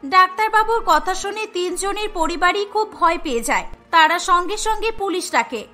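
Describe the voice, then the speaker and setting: A woman's voice: extremely high pitch, expressive, moderate pace, sharp tone. A woman narrating a scripted cartoon story as the storyteller. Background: music.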